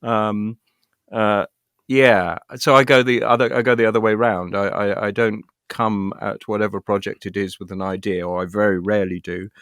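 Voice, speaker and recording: A man's voice: lowish voice, flat delivery, normal speed, fairly bright tone, no echo, clean studio sound. A middle-aged British man chatting casually as an interview guest, self-deprecating and thinking aloud as he rambles. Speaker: guest, actor and writer.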